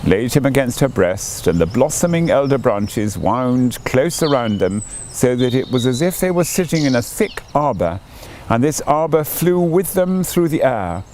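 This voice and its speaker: A man narrates a storybook in an expressive manner, with a mid-range voice that rises and falls a great deal. There is noticeable ambient noise behind his reading. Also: medium pitch, moderate pace. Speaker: audiobook reader.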